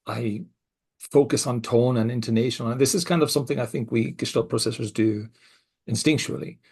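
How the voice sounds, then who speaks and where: Gravelly middle-aged man, mixed Scottish-American accent. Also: mid-range voice. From Scotland. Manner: reflective, speaks in halting restarts with soft laughs. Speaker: co-host. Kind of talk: casual podcast conversation.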